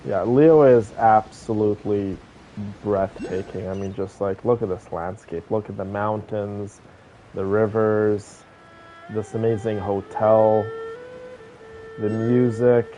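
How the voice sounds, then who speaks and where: A man's voice: on the low side, steady tone, slow, warm tone, lo-fi sound. A man giving casual, rambling commentary on a video game playthrough, often hesitating as he reacts to it. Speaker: gaming streamer. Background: game music.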